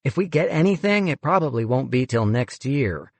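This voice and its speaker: A man's voice: medium pitch, very animated, normal speed, dry recording, voice pushed up. A man voicing an irritable female fundraising chief who talks bluntly and sneers. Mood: curt irritable.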